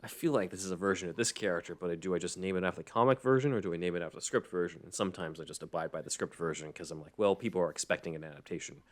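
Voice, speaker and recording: Low-voiced man hosting a podcast. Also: racing, few pauses. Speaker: podcast host. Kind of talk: casual podcast discussion of a script.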